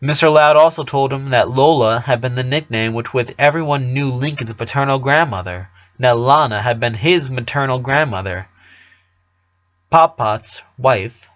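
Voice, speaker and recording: A man's voice: medium pitch, moderate pace, a bit bright. A man reads a story aloud as its narrator, in a mid-range voice. The recording is a bit dull and lo-fi, as if made at home. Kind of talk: reading fan fiction aloud.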